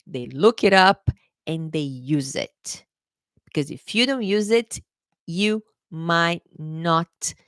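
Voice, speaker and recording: A young woman with a Brazilian Portuguese accent teaches English in a chatty live stream, repeating her main points and calling viewers "guys." Her voice sits fairly low, with a gravelly edge, and her pitch rises and falls a lot. The sound is dry, with almost no room echo. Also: fairly bright tone. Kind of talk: live-streamed exam prep lesson.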